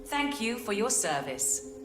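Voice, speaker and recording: An actress playing an impersonal company announcer in a video game, formal, measured and detached. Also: female voice, on the high side, sing-song, roomy sound, music in the background.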